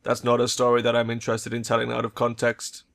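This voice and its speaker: A guarded cyborg woman voiced by a man, cool and detached, in a mid male register with a bright edge. She is a cyberpunk game teammate who keeps an icy monotone and gives little away. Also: mid-range voice, quick pace, creaky. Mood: guarded, suspicious.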